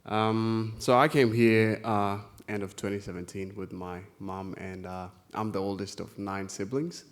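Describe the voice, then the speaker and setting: A young man from South Sudan speaks as a guest at a live conference. His voice is deep and fairly flat in melody. He talks informally about himself, in a hesitant, halting way. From South Sudan, born in a Kenyan refugee camp. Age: 20s. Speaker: guest speaker, youth volunteer. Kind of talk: informal personal talk. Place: conference stage, live event.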